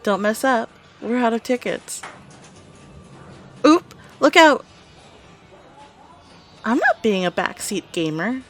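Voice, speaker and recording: A woman's voice: a little high, very animated, slow, long pauses, soft background music, full character voice. A woman acting a playful young gamer on a date in an audio roleplay, teasing and blunt in a casual way.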